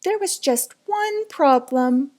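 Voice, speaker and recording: A woman's voice: high voice, animated, slow, darkish tone. A woman reading a children's picture book aloud in a dramatic, suspenseful way, drawing out words. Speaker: storybook reader.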